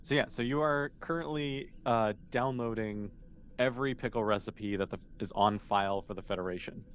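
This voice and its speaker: A man with a lowish voice acts as game master, explaining things informally as he narrates. The speech is casual and conversational. There is some faint noise in the recording. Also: moderate pace.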